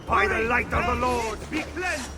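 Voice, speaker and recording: An actor voicing a crazed, paranoid priest in a video game, raspy and declaiming like a zealous preacher. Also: male voice, extremely high pitch, a little slow, heavy background noise. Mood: frenzied zeal. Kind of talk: video game dramatic acting.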